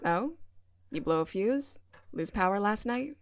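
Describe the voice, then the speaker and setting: A woman's voice: mid-range voice, expressive, measured pace, no echo. An actress playing a grudging, sarcastic young woman sent to do community service, in an audio drama. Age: young adult.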